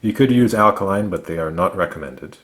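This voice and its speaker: A man narrating a product review, explaining things methodically in short, measured phrases. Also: sits low, lively intonation, a bit bright, a little hiss or hum. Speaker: gadget reviewer.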